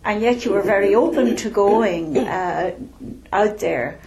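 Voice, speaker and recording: A woman interviewing a guest, courteous and gentle, with frequent hesitations. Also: mid-range voice, a little slow, a crowd in the background, low-quality recording. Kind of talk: local interview.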